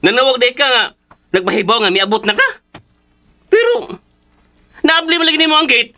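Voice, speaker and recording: Whiny nosy uncle, high sharp voice jumping around, cebuano accent, funny radio drama. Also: male voice, expressive, moderate pace, full character voice. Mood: excited. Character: gossipy, comical, grumbling uncle of the household. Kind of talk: Philippine radio drama acting.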